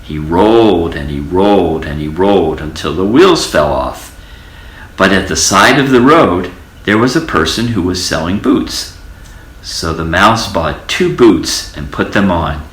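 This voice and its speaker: An older man with a very deep voice reads a children's tale aloud in an echoey room. His delivery is animated and melodic, lingering on repeated words to stretch out the story. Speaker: storybook reader.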